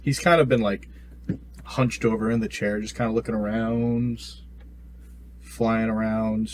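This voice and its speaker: A man's voice: lowish voice, level tone, unhurried. A man playing a tabletop role-playing game, speaking casually and hesitantly, in and out of character. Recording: noisy room ambience.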